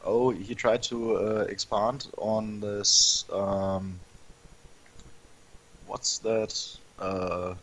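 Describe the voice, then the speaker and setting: A man's voice: on the low side, little pitch movement, drawn-out words, rough recording. A man casting a strategy game match, explaining plays in casual commentary and laughing easily. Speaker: esports commentator.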